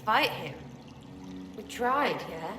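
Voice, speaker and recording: A woman playing a scrappy, rough-spoken young archer in a game, distraught, blunt and halting. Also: mid-range voice, very animated, music in the background. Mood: bitter, vengeful. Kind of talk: dramatic game voice acting. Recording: echoey room.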